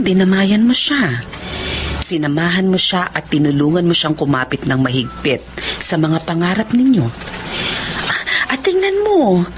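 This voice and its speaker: A woman's voice: fairly low pitch, background noise. An actress playing a caring but firm female mentor, speaking in earnest emotional drama style. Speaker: teacher or mentor. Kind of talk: emotional Filipino drama acting. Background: music.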